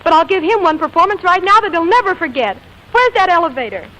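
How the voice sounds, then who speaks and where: On a faintly hissy old radio broadcast, a spirited, quick-tempered leading lady. Her voice is high, and her delivery is dramatic in the style of 1940s radio comedy. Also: animated, pushed and bright, heavily acted, poor audio. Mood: angry. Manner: tart, dramatic. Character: spirited, quick-tempered woman performer, tender when in love.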